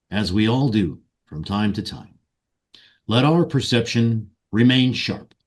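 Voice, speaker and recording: A man leading a guided meditation and ceremonial invocation in solemn, deliberate short phrases. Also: on the low side, darkish tone. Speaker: meditation guide and ritual leader.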